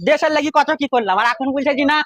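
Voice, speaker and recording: Aggrieved old rural West Bengal villager played by a man, extremely high, bright voice, comic skit grumbling. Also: very fast, no echo. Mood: weary grumbling. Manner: complaining, repetitive rhetorical questions. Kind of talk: comic social skit acting. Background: faint music.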